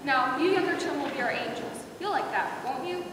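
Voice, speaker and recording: A woman playing a brisk, firm director of a children's Christmas pageant in an amateur readers theater skit, instructive and no-nonsense. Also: high-pitched, expressive. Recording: distant, very echoey hall with background noise.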